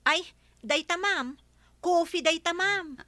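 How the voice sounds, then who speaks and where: A woman's voice: extremely high pitch, slow, sharp tone, no room sound, studio quality, heavily acted. A woman playing a meek but stubborn housemaid who answers hesitantly and defensively in an Ilocano radio comedy drama.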